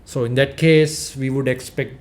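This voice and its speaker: A man's voice: mid-range voice, steady tone, moderate pace, a little mellow. Curious male interview host. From Sri Lanka. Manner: probing, short follow-up questions. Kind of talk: interview questions.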